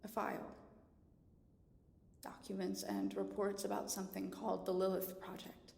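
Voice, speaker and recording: Woman playing a hushed, creaky-voiced nurse. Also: medium pitch, monotone, moderate pace. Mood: secretive, hesitant. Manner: hushed, hesitant, often near whisper. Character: hesitant, secretive female nurse, gentle with patients. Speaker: actor in audio drama. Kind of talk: radio theatre acting. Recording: echoey, long reverb.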